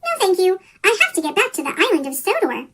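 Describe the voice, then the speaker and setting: Reader doing a plucky polite little boy train, squeaky chipmunk effect, really high and lively. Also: fast, exaggerated voice. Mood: polite, firm. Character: plucky, polite male little tank engine. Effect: sped-up chipmunk pitch shift.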